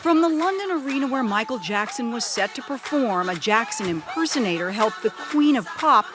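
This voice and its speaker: A woman news correspondent narrating a scripted report in a crisp reporter delivery. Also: on the high side, expressive, moderate pace.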